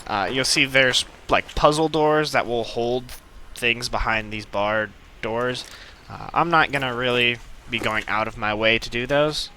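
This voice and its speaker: A man's voice: mid-range voice, a little slow, bright, forceful tone, slight background noise. A man giving casual, rambling let's play commentary with playful asides. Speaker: gaming video host. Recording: game gunfire and ambience behind voice. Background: game gunfire and effects.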